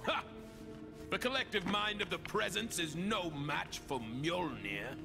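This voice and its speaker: A man's voice: high-pitched, animated, measured pace, creaky, over music, heavily acted. A man voicing a bombastic warrior thunder god in a video game, speaking in grand, archaic, theatrical phrases. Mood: grand, boastful. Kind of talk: video game cutscene acting.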